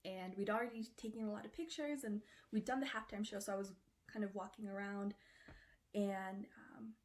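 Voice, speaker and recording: Woman, cosplayer interviewee, casual chatty delivery, middle pitch. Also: fairly even intonation, moderate pace, slight background noise. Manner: chatty, loose, with frequent fillers.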